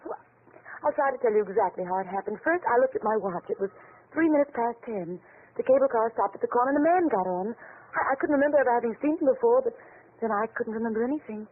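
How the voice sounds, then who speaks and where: A woman's voice: fairly high pitch, lively intonation, quick pace. An actress playing a frightened, mysterious young female amnesiac client in 1940s radio style, breathless, earnest and melodramatic. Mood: anxious recounting. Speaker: actress in a radio detective series. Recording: tinny, noisy 1940s radio recording.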